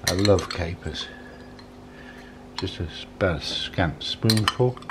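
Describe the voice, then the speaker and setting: A man in his sixties giving a casual, unhurried cooking demonstration in a home kitchen, often repeating his phrases as he goes. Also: low-pitched. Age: 60s. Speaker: home cook, presenter. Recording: clattering dishes, clicks, kitchen noise.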